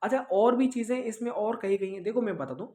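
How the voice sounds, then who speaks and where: A man's voice: sits high, fast talker, dark tone. A man teaching exam students informally online, explaining things with repetition and check-in questions, mixing in English words. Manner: explanatory, repeats himself, with check-in tags; mixes English words into speech.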